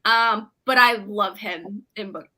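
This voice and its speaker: A woman's voice: medium pitch, slow, pushed and bright. A woman in a casual book chat, talking emphatically and acting out her reactions as a panelist.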